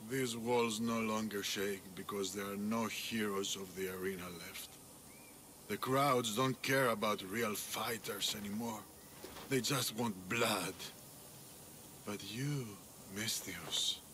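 An actor playing a brooding male arena handler in a video game, speaking in a hushed, wistful way. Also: lowish voice, slow, a little hiss or hum.

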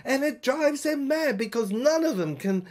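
A woman's voice: high-pitched, expressive, normal speed, a little mellow, voice pushed up. A woman voicing an imperious, frustrated Amazon in an emphatic, insistent audio drama delivery. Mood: exasperated.

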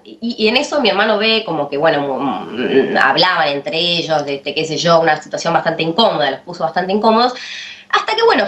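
A woman in her thirties with an Argentine accent, speaking over a laptop video call in a TV news interview. She recounts things in an indignant, halting way, full of fillers and restarts. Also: fairly low pitch, fairly bright tone. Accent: Argentine Spanish. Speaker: interviewee, sister of the man affected. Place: home room, indoors. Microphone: laptop webcam on video call.

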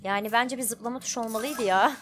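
High-voiced woman gaming casually. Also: fast talker, a bit bright, soft background music. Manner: informal, thinking aloud. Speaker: gaming streamer. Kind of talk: casual let's play commentary, reading dialogue aloud in translation.

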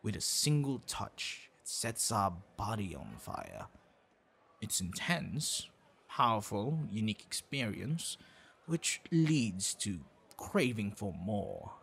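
A man acts out a secretive young man on a date in an intimate audio roleplay. His voice is breathy and unhurried, with a very lively, swooping pitch. He is tender and easily flustered. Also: exaggerated voice. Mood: tender, poetic. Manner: slow, intimate, with halting stammers when flustered. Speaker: ASMR roleplay voice actor. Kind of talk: scripted ASMR boyfriend roleplay.

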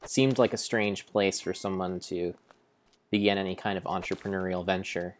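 A young man narrating gameplay as a solemn, deliberate, theatrical story. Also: sits low, fairly even intonation, slight background noise. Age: young adult. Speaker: game streamer. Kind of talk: dramatic storybook-style narration over gameplay.

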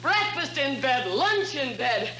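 Overwrought, high-voiced Victorian wife. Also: female voice, very animated, takes it slowly, pushed and bright, heavily acted. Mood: distressed. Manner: overwrought, pleading, repeats phrases. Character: anguished Victorian wife being driven mad, theatrically fretful. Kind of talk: 1950s TV melodrama parody acting. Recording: noisy vintage 1950s TV soundtrack with hum.

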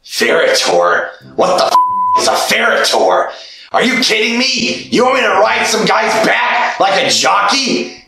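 Man as a tiny killer doll, high-pitched and sharp, shouting indignantly; echoey room, faint music. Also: sing-song, unhurried, heavily acted. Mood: outraged. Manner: indignant, shouting, easily insulted. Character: tiny foul-mouthed male killer doll, hot-tempered.

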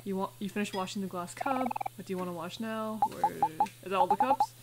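A woman's voice: mid-range voice, brisk, very noisy. A woman doing casual let's play commentary, chattily thinking aloud and reading game text out as she goes. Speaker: gaming video host.

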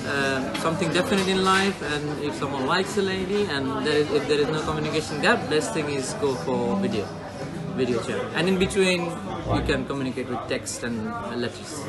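A man's voice: on the high side, normal speed, loud music behind the voice. A man from Bangladesh in his thirties, answering interview questions casually, hesitant with many fillers, seated indoors by a brick wall. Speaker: interviewee, client.

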